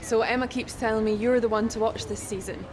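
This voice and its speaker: An actress plays a businesslike woman reporting on motorsport in a game cutscene. She speaks briskly in a fairly high voice, steering the interview. There is clear background noise. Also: steady tone, natural voice. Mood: brisk, professional. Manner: brisk, directing, professional.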